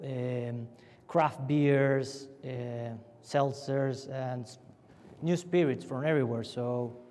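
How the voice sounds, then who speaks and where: A man's voice: medium pitch, warm tone. Chilean man in an echoing conference hall. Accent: Chilean Spanish. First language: Spanish. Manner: loose and chatty, many restarts and fillers. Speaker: panelist from a family winery. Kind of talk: conference fireside chat. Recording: long hall reverb.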